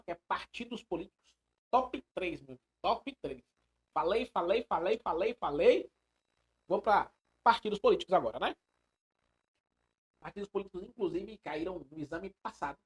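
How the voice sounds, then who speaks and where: A man teaching an animated live exam-prep class, emphatic, repeating phrases and putting questions to his students. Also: high voice, husky. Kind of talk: animated live online lecture.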